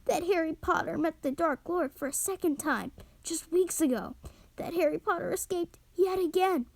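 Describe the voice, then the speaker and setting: A woman voicing a small, tearful, devoted male house elf with a high, squeaky voice and an earnest, servile manner. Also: moderate pace, creaky, darkish tone, no room sound. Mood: awed. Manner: earnest, servile, always says sir. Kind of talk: audiobook character reading.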